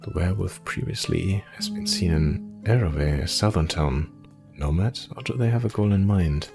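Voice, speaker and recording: A man's voice: low voice, normal speed, creaky. A man reading a video game aloud and adding brief, casual comments as he plays. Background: faint music.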